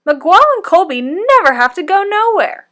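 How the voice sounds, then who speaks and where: A woman's voice: extremely high pitch, very animated, moderate pace, fairly bright tone, voice pushed up, heavily acted. A woman voicing a young storybook boy who is plaintive and full of questions.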